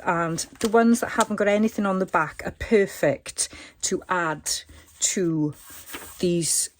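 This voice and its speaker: A woman hosting a crafting tutorial, chatting and thinking aloud as she works, her voice a little croaky today. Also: on the low side. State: slightly croaky voice. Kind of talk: casual craft demonstration.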